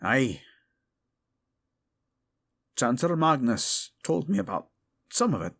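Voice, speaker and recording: A man playing a gruff, suspicious but loyal dwarf with earthy, informal speech in a fantasy audio drama. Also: medium pitch, sing-song, slow pace, a bit bright, pitched above the performer's own voice, heavily acted. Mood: hearty.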